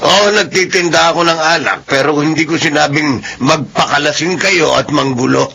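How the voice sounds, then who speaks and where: An actor in a Filipino radio drama playing a stern, gruff and protective older man who keeps a store. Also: on the high side, moderate pace, a crowd in the background. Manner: gruff, warning.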